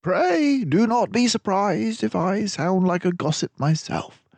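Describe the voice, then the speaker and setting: A man voicing a confident, gentlemanly detective mouse in a children's storybook, theatrical and self-assured. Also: sits high, lively intonation, measured pace, vocal fry, no echo, pitched above the performer's own voice, exaggerated voice. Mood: jaunty, courteous. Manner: theatrical, self-assured, mannered turns of phrase.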